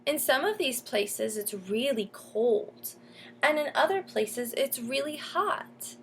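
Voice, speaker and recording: A woman with a fairly high voice reads a children's storybook aloud like a teacher. She steps out of the text to explain things and put questions to young listeners. There is faint noise behind her. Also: lively intonation, slow, fairly bright tone. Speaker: teacher reading a picture book aloud.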